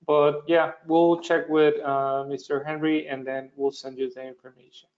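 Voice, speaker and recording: Guy moderating a webinar, polite, slightly higher voice, kinda muffled call sound. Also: flat delivery, normal speed. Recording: dull webinar call audio.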